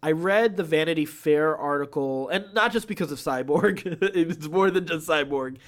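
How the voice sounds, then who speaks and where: A man's voice: a little high, moderate pace. A man co-hosting a podcast, chatting casually about film news. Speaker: podcast co-host.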